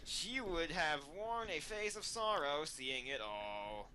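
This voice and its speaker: Male co-host, forward bright voice a bit above average pitch, casual banter, dry and sarcastic. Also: lively intonation, slow pace, clear, resonant tone, poor audio. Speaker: co-host of a fan fiction reading show. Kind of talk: casual banter and mocking read-aloud.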